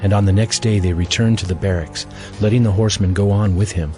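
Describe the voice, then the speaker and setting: A man's voice: lowish voice, steady tone, fast, dark tone, soft background music. A man narrating scripture as a scripted audio Bible reading.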